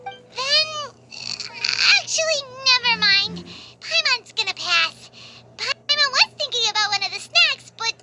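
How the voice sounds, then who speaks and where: A woman voicing a tiny childlike game companion, excitable and greedy, squeaky and animated. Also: measured pace, background noise. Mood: uneasy. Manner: animated, exclaiming. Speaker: voice actor in an English video game dub. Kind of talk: scripted game dialogue in third person. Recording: loud game music behind.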